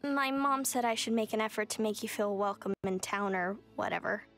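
An actress playing a reluctant, awkward schoolkid in a video game, hesitant and casual. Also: female voice, medium pitch, a little fast, fairly bright tone, faint music behind, natural voice.

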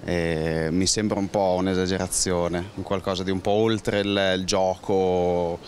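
A man's voice: low-pitched, flat delivery, measured pace, a little mellow, a little hiss or hum. A man giving blunt, dismissive opinions to a street interviewer, outdoors into a handheld mic. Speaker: passerby interviewed. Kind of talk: casual opinion. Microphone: handheld microphone.

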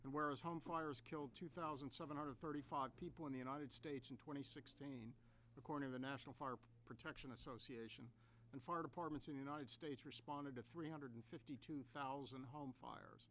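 An older man reads a formal proclamation in a measured way at a desk microphone in a council meeting room. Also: medium pitch, fairly even intonation, a bit bright, slight background noise. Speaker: council member reading a proclamation.

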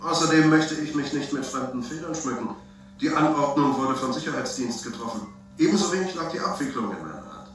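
An actor playing a smooth, evasive senator chairing a secret commission in measured, pompous officialese in a radio drama. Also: male voice, medium pitch, lively intonation, lightly acted. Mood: coolly evasive. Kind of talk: German science fiction radio drama acting. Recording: roomy, distant binaural recording over music.

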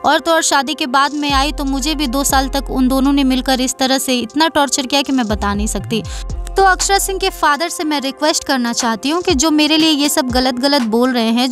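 A woman reading scripted news narration as a presenter. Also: high voice. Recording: music playing behind. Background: background music.

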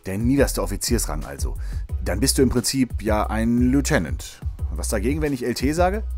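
A man's voice: medium pitch, big pitch swings, normal speed, a little mellow, soft background music, close to an everyday voice. A man playing a peppy, jovial propaganda host of a military morning radio show, with showy, chummy presenter patter. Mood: chatty, upbeat. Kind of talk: radio show moderation in audio drama.

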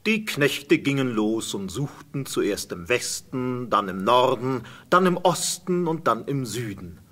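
A man's voice: medium pitch, animated, measured pace, fairly bright tone. A man narrating a scripted fairy tale as a storyteller.

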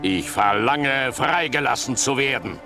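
An actor playing a proud, vengeful villain in a video game, haughty and menacing. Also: male voice, mid-range voice, measured pace, over music, lightly acted. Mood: defiant. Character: proud, vengeful villain, a slain imperial envoy. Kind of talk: dramatic video game acting.

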